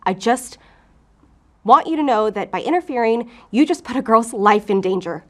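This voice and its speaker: A woman's voice: fairly high pitch, expressive, normal speed, natural voice. A woman playing a curt, defensive, foul-mouthed FBI agent in an audio drama. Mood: angry, accusing. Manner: curt, profane, irritable. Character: volatile, defensive, obsessive female FBI agent.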